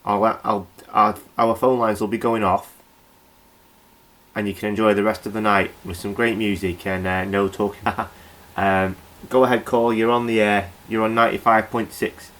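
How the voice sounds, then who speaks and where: A man's voice: fairly low pitch, level tone, moderate pace, a bit bright, natural voice. A man with a British accent playing a flustered, nervously apologetic local radio presenter who stammers and rambles in a comedy horror radio play. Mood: nervously chatty.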